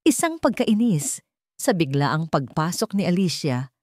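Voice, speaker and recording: Low-pitched woman narrating drama. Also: big pitch swings, a little slow, a little mellow, no echo, studio quality. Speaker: storyteller. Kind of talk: dramatic scripted story narration.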